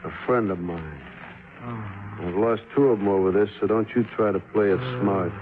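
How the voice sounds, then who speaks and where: An actor playing a menacing, impatient robber in a 1950s radio crime drama, curt and threatening. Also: male voice, lowish voice, moderate pace, slight background noise, natural voice. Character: menacing, impatient male robber hunting stolen money. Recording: tinny narrow 1950s radio recording.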